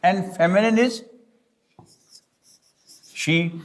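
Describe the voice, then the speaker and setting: A male Arabic teacher, not a native English speaker, giving a classroom lecture slowly and deliberately, repeating points and checking understanding. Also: sits high. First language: not English; Urdu is his second language.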